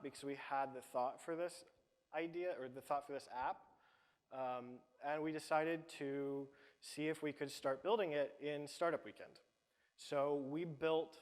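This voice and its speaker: A man, the business lead of a startup, explaining his team's product to an audience. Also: a little high. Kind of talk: audience Q&A pitch answer. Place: event hall. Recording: long hall reverb.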